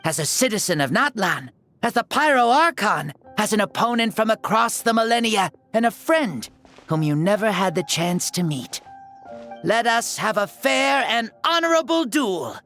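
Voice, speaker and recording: A woman's voice: medium pitch, very animated, moderate pace, fairly bright tone. A woman voicing a proud, battle-eager ancient warrior god in a child's body, speaking in a formal, declamatory way for a video game. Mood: resolute. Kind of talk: dramatic video game voice acting. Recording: background music.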